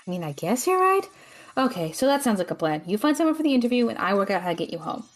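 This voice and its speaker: A woman's voice: mid-range voice, sing-song, quick pace. A woman playing a practical, exasperated young female student with a skeptical, dry manner in an audio drama. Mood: skeptical, resigned.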